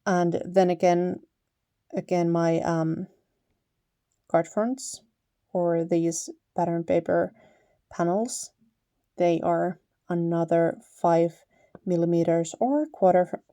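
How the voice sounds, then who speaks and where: A Finnish-accented woman talks through her card-making in an unhurried, easygoing way. Her voice is low and mellow. The sound is dry and close to the mic. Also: no room sound. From Finland. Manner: relaxed, chatty, laughs lightly, self-correcting. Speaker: paper crafter, channel host. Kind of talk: casual crafting tutorial voice-over.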